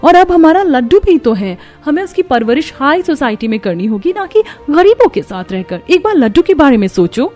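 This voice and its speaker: A woman's voice: high voice, big pitch swings, moderate pace, hardly any pauses, a little mellow, faint music behind, pitched above the performer's own voice. A woman voicing a haughty, spiteful rich young wife in a cartoon story, scornful and demanding. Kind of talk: Hindi cartoon moral story dialogue.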